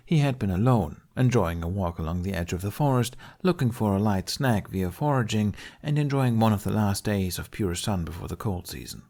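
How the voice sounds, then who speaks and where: A man's voice: lowish voice, vocal fry, darkish tone. A man reading a story aloud as an audiobook narrator in a scripted, storytelling style.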